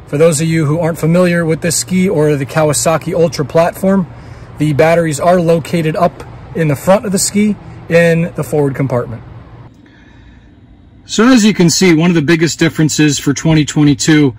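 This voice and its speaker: A man's voice: fairly high pitch, poor audio. A man in his thirties walking through a hands-on tutorial outdoors, talking plainly into a phone camera held close, with fillers as he works. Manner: relaxed, plain-spoken, with fillers while working. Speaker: dealer host giving a how-to. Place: outdoors beside a jet ski.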